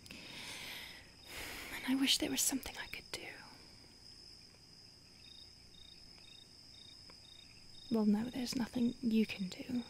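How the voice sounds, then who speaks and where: A woman voicing a starved, remorseful, centuries-old female vampire in a soft, breathy, halting whisper for an ASMR roleplay. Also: fairly high pitch. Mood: anguished, apologetic. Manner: slow, intimate, halting.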